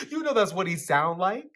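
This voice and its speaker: A woman's voice: medium pitch, big pitch swings, a little slow, a bit bright, slight background noise. A woman playing a cocky, teasing young man with slangy, swaggering speech in a dramatic reading of a novel.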